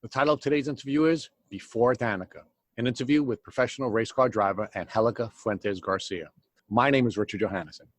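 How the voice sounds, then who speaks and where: Measured male podcast host. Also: mid-range voice, no echo. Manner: measured, reads introductions formally. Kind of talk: scripted intro, then interview questions.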